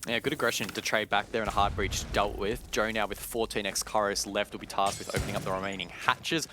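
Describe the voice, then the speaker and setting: A man's voice: lowish voice, fairly even intonation, fast talker, music in the background. A man giving analytical live commentary on an esports match as a caster.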